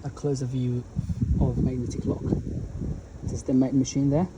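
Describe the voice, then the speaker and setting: A man presenting an installation as a product walkthrough, speaking deliberately in short, halting phrases. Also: mid-range voice, little pitch movement, heavy background noise. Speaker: gate installer presenting his work.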